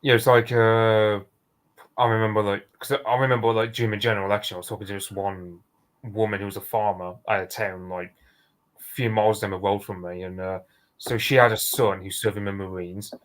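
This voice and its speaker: Low-voiced man telling an anecdote. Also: level tone, brisk. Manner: anecdotal storytelling, filler-heavy. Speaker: podcast co-host. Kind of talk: casual political chat.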